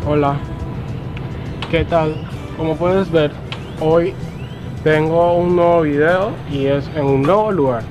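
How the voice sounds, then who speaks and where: A young adult man, presenting a vlog casually outdoors, pausing between short phrases. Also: fairly high pitch, fairly even intonation, highs cut off, over music. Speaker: vlogger. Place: outdoors on a rooftop above a city.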